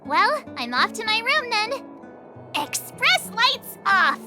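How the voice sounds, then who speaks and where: A woman's voice: extremely high pitch, big pitch swings, slow, big-room sound, music in the background, full character voice. An actress playing a bubbly, cheeky teenage adventurer girl in a video game, with a breezy, slangy, dramatic delivery. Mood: uneasy. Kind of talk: English video game voice acting.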